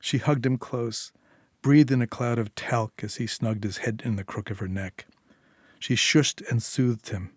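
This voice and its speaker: A man's voice: mid-range voice, steady tone, a little slow, husky, no echo, clean studio sound. A man reading a short story aloud as scripted narration. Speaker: story reader.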